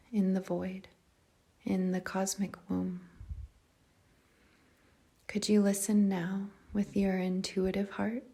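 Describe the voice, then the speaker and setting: Female meditation guide: unhurried and even, soft breathy delivery with a gravelly fry at the edges. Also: medium pitch. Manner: calm, measured, gentle, with short phrases and long pauses. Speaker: yoga nidra meditation guide. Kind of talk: guided meditation, slow soothing narration.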